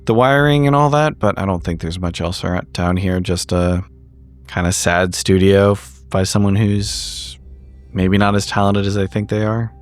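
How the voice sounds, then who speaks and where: Deep-voiced, hesitant male roleplayer. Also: normal speed, faint music behind. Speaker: tabletop game player. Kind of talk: in-character roleplay conversation.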